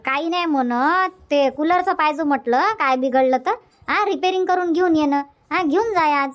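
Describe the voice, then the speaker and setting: A woman's voice: sits high, moderate pace, dull audio, natural voice. A woman voicing a bossy, fussing village mother-in-law in a cartoon comedy, colloquial and repetitive, with a rural Varhadi Marathi accent. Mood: instructing. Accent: rural Varhadi Marathi from Vidarbha.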